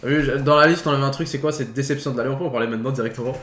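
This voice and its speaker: A man's voice: mid-range voice, very fast. A man co-hosting a casual film talk show, speaking slangy peppered words. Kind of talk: casual chat about films. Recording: roomy echo, faint background noise.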